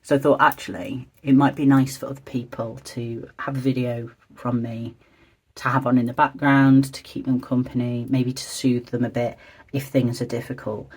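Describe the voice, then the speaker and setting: Husky, low-key craft vlogger. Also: flat delivery, normal speed. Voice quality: slightly croaky, husky. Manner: hesitant, meandering, soft-spoken and low-key. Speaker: stationery and journaling video creator. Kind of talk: quiet, chatty craft vlog, thinking aloud while decorating.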